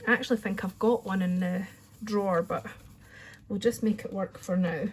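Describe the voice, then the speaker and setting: A woman's voice: mid-range voice, fairly even intonation, normal speed, breathy edge, rough recording. A Scottish woman chatting casually through a card-making tutorial at her craft desk, with a friendly, rambling manner. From Scotland. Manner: chatty, friendly, says "wee" often.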